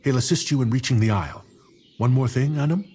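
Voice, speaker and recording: An actor playing a wise, loyal, cautious druid in a fantasy game, with a husky voice and a calm, formal advisory manner. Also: male voice, mid-range voice, brisk, faint music behind, natural voice. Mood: cautious, advising. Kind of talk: fantasy game cutscene acting.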